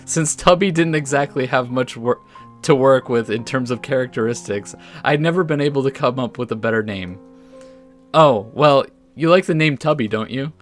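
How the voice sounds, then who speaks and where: Male gaming host, casual, reads story text aloud with small stumbles, mid-pitched voice, soft guitar music underneath. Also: moderate pace. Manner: casual, stumbles over words when reading aloud. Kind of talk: let's play commentary mixed with reading story text aloud.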